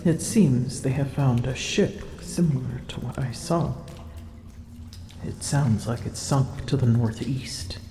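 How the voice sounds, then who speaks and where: A woman's voice: rumbling low, warm tone, reverberant, slight background noise. A woman voicing a proud, smug female dragon in human form, haughty and teasing, in an ASMR roleplay. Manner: haughty, teasing, slow and deliberate.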